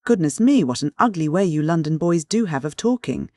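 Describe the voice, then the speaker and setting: Man voicing an excitable, gushing young girl. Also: on the high side, brisk, no echo, clean studio sound, lightly acted. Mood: pettish. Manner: gushing, exclamatory, pettish. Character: dreamy, excitable, impatient young Welsh girl typist.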